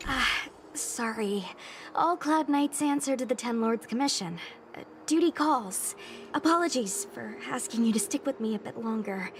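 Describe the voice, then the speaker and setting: A woman's voice: on the high side, animated, normal speed, slight background noise. An actress playing a friendly, earnest young female soldier in a video game, informal and slightly hesitant, eager to protect. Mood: apologetic, dutiful. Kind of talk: scripted video game dialogue.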